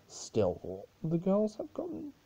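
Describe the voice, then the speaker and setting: A man's voice: high-pitched, wildly swooping pitch, slow pace, vocal fry, warm tone, husky, lo-fi sound, voice pushed up, exaggerated voice. A man voicing a mischievous male spirit of chaos in a theatrical, needling story reading. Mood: somber. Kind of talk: dramatic fan-fiction reading.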